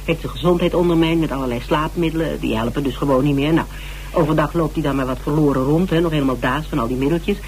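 A woman's voice: low-pitched, quick pace, darkish tone, rough recording. Self-assured woman sleuth in a radio play, probing, cagey, faint noise on the recording. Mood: calm, explanatory. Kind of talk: radio drama acting.

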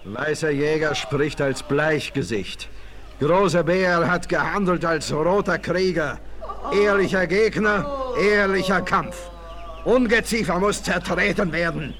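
A defiant warrior chief in a vintage radio western. He speaks very slowly in a raised voice, with solemn, stilted phrasing and unbending pride. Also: fairly high pitch, a bit bright. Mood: proud defiance. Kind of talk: 1960s German radio play acting.

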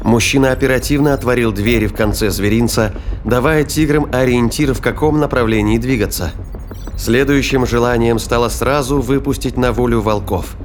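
A man's voice: medium pitch, moderate pace, faint music behind. A man reading scripted third-person narration as the narrator of an audio drama.

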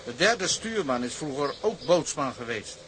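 An authoritative but fatherly sea captain in a radio drama. His pitch moves up and down a lot, and the recording has clear background noise with bird calls. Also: very animated, moderate pace, fairly bright tone, low-quality recording. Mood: avuncular.

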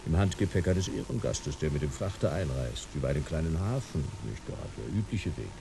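A man's voice: extremely low pitch, lively intonation, fairly quick, vocal fry, background noise, full character voice. A man playing a laconic, world-weary private detective in a radio play, with dry, sardonic delivery. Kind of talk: radio play acting and first-person noir narration.

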